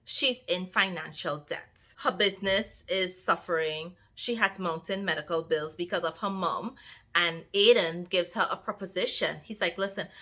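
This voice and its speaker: A young woman with a Trinidadian accent, chatting casually to her viewers as a book vlogger, lively and talking straight to them. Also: on the low side. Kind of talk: casual chat to camera.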